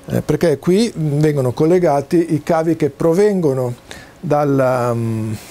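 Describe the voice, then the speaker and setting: A man gives an informal, halting technical explanation to camera, pausing often as he searches for words. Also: on the high side, dark tone. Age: 60s. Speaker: presenter demonstrating home-built audio and video gear. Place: small cluttered home study, indoors.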